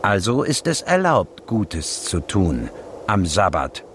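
Commanding scriptural preacher, radio play. Declamatory, very measured, highly varied intonation, slight background noise. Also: male voice, wildly swooping pitch, exaggerated voice. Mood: firm, rebuking. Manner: deliberate, emphatic, declamatory. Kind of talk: radio drama scripture recitation.

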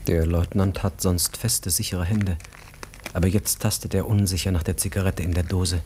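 Male narrator for literary radio drama: low voice, measured storytelling, some background noise. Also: fairly even intonation, warm tone. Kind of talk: literary radio play narration, present tense.

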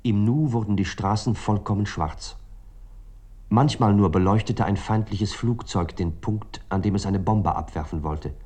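An actor playing a reflective writer recounting a night of war in a literary radio drama, articulate and brooding. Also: male voice, fairly low pitch, measured pace, darkish tone, husky. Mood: haunted, brooding.

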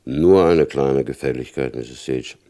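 An actor playing a hard, shrewd FBI chief in a German radio crime drama, condescending and insinuating, with a gravelly voice. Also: male voice, very deep voice, measured pace, warm tone. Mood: insinuating pressure.

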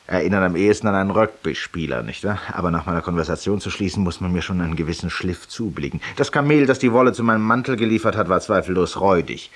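A wordy ironic ex-gentleman tavern regular, husky, kinda far from the mic, old 50s radio play. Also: sits low. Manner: polished, loquacious, ironic, rhetorical. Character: urbane, talkative, self-mocking judge-penitent, a tavern regular. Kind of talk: 1950s German radio drama monologue. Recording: distant-sounding 1950s radio recording.

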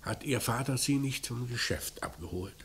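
An actor playing a dry, probing police commissioner in a German radio crime play, questioning in a terse deadpan way. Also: male voice, mid-range voice, moderate pace. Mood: probing, restrained. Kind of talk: mid-century German radio play acting. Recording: noisy vintage radio drama recording.

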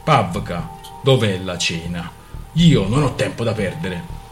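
A man's voice: low voice, expressive, takes it slowly, faint music behind, pitched below the performer's own voice. A man reading an audiobook and voicing a weary man who speaks tersely, at times pleading. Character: weary man, gruff but affectionate.

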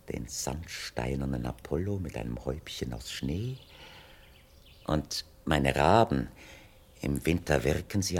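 Brooding idle young gentleman, lively low voice. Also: creaky, full character voice. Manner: whimsical, mannered, flowery speech with musing pauses. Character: wealthy, idle young bachelor heir, brooding and evasive. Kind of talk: 1960s German radio play acting. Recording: noisy vintage 1960 radio recording.

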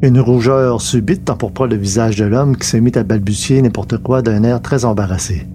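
A man's voice: mid-range voice, moderate pace, noisy background. A man reading scripted narration for an audio story, as its narrator. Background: loud music.

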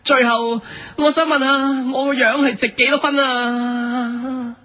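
High-pitched, melodramatic Hong Kong Cantonese band host, acted out. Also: little pitch movement, sharp tone, heavily acted. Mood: theatrical, upbeat. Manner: exclamatory, theatrical, drawn-out words. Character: excitable, grumbling rock band host, melodramatic. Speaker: radio show host. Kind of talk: comedic radio drama hosting.

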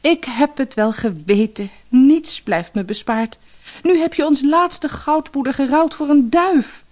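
A female narrator plays a long-suffering storybook mother. She sounds worried and reproachful, with exasperated sighs and big swoops in pitch. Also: animated, unhurried, a little mellow. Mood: despairing. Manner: sighing, exasperated.

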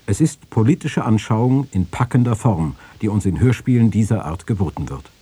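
Second documentary voice: man, low and warm, husky edge, formal, measured reading. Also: expressive, no room sound. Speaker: radio feature speaker and quotation reader.

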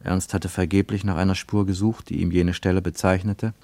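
A man reading scripted literary narration for radio in a measured, solemn manner. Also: low-pitched, flat delivery, a little mellow, dry recording.

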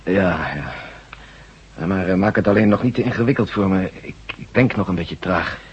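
A male actor playing a groggy general in a science-fiction radio drama, hesitant and musing as his wits slowly return. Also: low-pitched, slightly rough. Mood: dazed, cooperative. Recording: muffled old radio drama recording.

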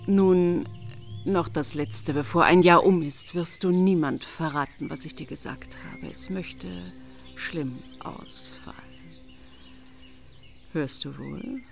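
Mysterious female seer, lowish voice, very slow solemn delivery, radio drama. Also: gravelly, faint music behind, exaggerated voice. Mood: hushed menace. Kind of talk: literary radio play acting.

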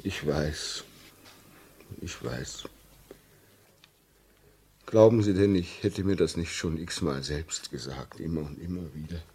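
An actor playing a haunted, despairing railway signalman in a halting, brooding manner, in a 1950s German radio drama. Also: sits low, dark tone, slightly rough, exaggerated voice. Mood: subdued, resigned. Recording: early 1950s radio play recording.

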